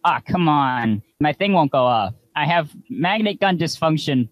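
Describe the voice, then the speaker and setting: A man voicing an anxious, fussy twelve-year-old boy in an improvised comedic cartoon fan dub, halting and protesting. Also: on the high side, lively intonation, no echo, lo-fi sound. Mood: flustered, indignant.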